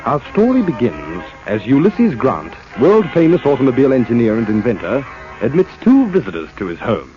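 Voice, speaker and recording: A man's voice: mid-range voice, huge pitch swings, normal speed. A man giving scripted, declamatory narration as a 1940s radio announcer. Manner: dramatic, declamatory. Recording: dull old radio broadcast. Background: music.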